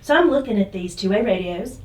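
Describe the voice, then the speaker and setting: A woman's voice: mid-range voice, lively intonation, a little fast, a little mellow, recorded from a distance. A woman casually asking questions as an interviewer from behind the camera, away from the microphone. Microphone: distant from speaker, behind camera. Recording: roomy, off-mic behind camera.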